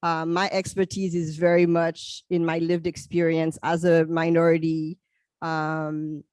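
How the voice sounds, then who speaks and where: A middle-aged woman from Haiti whose first languages are French and Haitian Creole, speaking on a panel in a measured way with frequent fillers. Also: on the low side, darkish tone, no echo. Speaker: psychologist panelist. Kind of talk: panel talk.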